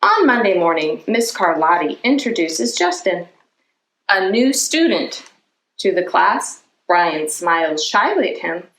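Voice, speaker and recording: A woman reading a children's picture book aloud as a storytime host, in an expressive, deliberate storytelling manner. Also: on the low side, echoey room, rough recording. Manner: animated, deliberate storytelling with character voices.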